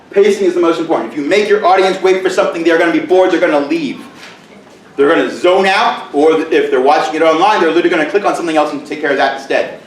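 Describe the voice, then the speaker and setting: A middle-aged male teacher giving a conference presentation in a loud, booming voice with joking asides, recorded from far off in a large room. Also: echoey room, a little hiss or hum. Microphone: camera mic from far off.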